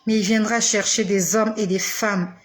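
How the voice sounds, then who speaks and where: A woman's voice: mid-range voice, steady tone. Emphatic woman preacher in her forties. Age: 40s. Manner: slow, emphatic repetition with dramatic pauses. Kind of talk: sermon addressed to the listener.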